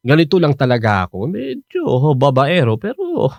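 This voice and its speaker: A man's voice: on the high side, wildly swooping pitch, normal speed, gravelly, a little mellow, dry recording, full character voice. An actor playing an older, playful Filipino womanizer who is earnestly courting, in a hesitant, coaxing radio drama style. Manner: hesitant, coaxing, peppered with interjections.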